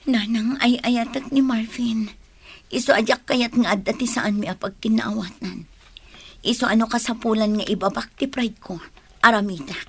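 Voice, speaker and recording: A woman's voice: a little high, steady tone, normal speed, husky. A woman with a Filipino accent playing a meek, apologetic young girlfriend who talks pleadingly, in a radio drama. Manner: pleading, submissive.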